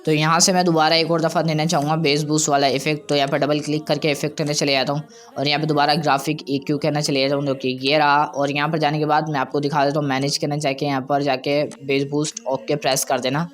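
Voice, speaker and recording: A man hosting a casual, step-by-step software tutorial. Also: on the high side, level tone, fast. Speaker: tutorial host.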